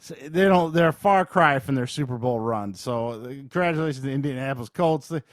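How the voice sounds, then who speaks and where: Male podcast host, average pitch, informal sports commentary, conversational and opinionated, very little room sound. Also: expressive, brisk, no room sound, clean studio sound. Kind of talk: casual sports chat.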